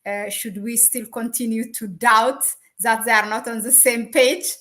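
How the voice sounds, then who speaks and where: A woman from Ethiopia hosting an interview in English, her first language being Amharic, talking hesitantly with many pauses. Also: on the high side, steady tone, pushed and bright. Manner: hesitant, many fillers and restarts. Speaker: host.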